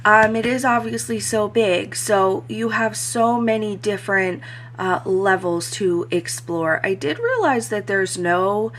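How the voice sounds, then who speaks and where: A woman talking casually as a cruise vlogger, her voice a bit hoarse from crying the day before, with lots of "um" fillers. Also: mid-range voice, animated, measured pace, a bit bright, slight background noise. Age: adult. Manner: chatty, frequent "um" fillers. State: slightly hoarse voice after crying. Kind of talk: casual review vlog.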